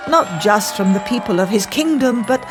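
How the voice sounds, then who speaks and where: A woman reading a children's fairy tale as scripted narration, with deliberate, theatrical phrasing. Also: medium pitch, expressive, over music. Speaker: storyteller.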